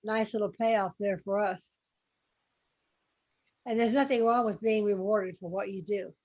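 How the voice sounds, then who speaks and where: A woman's voice: medium pitch, steady tone, a little slow. A woman facilitating a reflective spiritual group talk on a video call, speaking in an encouraging way. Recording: slightly dull call audio.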